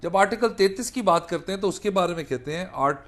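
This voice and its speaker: A man's voice: high voice, animated, fast, fairly bright tone. A man with a Pakistani accent giving an explanatory law lecture, didactic, restating points and mixing in English legal terms. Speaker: law lecturer and defence attorney.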